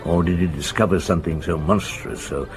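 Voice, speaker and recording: An actor playing a middle-aged police inspector in a radio drama, thoughtful, courteous and measured in his speech. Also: low voice, over music. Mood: musing. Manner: measured, musing, deliberate.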